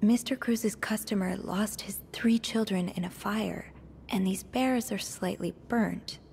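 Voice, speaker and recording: An actress playing a curious, evasive young hotel maid in a mystery game, speaking in an earnest, hesitant way. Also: female voice, mid-range voice, unhurried, gravelly, a little mellow, slight background noise. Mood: earnest, insistent. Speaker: video game character. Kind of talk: narrative mystery game voice acting.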